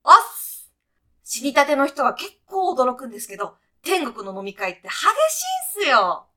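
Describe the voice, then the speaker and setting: A woman's voice: high voice, huge pitch swings, normal speed, sharp tone, exaggerated voice. A woman playing a brash, breezy guide to heaven in a comic radio sketch, talking in punchy, clipped, casual slang like a sporty junior employee. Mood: upbeat, brash.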